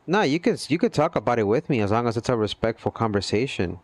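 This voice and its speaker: A man's voice: medium pitch, lively intonation, quick pace, dry recording. A man chatting casually with his livestream viewers, earnest and rambling, often checking in with "you know what I mean". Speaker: gaming livestreamer.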